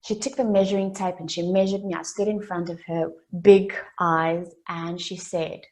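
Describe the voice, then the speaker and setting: A South African woman in her late twenties, a native Afrikaans speaker, giving an earnest motivational keynote that builds its story through deliberate repetition. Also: lowish voice, fairly even intonation, darkish tone. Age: late 20s. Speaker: summit speaker.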